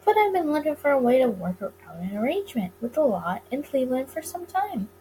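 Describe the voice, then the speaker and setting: A woman's voice: high voice, big pitch swings, a little fast, dark tone, slight background noise, pitched above the performer's own voice, rough recording. Woman playing a sly, suave speakeasy boss. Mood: smooth, persuasive. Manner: smooth, courtly, teasing, wordy.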